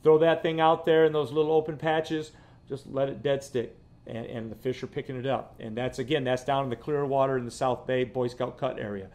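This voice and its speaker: A man's voice: medium pitch, level tone, a little fast, darkish tone. A man in his fifties, a fishing guide hosting his vlog from his living room, talking casually and rambling, often repeating words. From Florida. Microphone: camera close up, seated.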